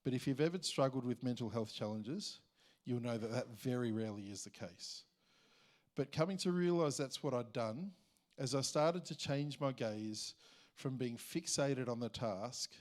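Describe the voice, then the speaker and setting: A man reading a prepared church testimony in a measured, earnest way. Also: medium pitch. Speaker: church member sharing testimony.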